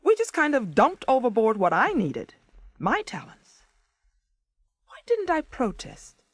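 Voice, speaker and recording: An actress in a 1980s radio drama playing a wistful, neglected wife with a wry, expressive manner. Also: female voice, on the high side, unhurried, no room sound, full character voice. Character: Carol, neglected wife and former set designer, wistful, bitter. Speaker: actress narrating and playing the lead.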